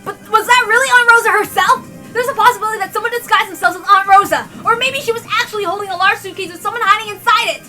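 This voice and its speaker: Woman acting a frantic, very high-pitched young defender. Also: fast, few pauses, sharp tone. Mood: insistent. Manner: frantic, insistent, exclamatory. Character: hot-headed, desperate young defender arguing against accusers. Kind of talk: dramatic visual novel read-aloud. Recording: music behind the voice.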